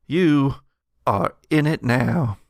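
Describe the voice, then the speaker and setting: A man's voice: medium pitch, drawn-out words, vocal fry, dry recording, clean studio sound. A man voicing a flirtatious, nosy woman at a bar in a tabletop game, gushing with drawled endearments.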